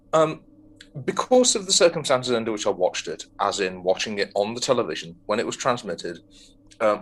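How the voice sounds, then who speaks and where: A man co-hosting a casual podcast, pausing often with ums as he gathers his thoughts. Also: on the low side, a bit bright. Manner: hesitant, lots of ums. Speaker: podcast co-host. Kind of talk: casual chat.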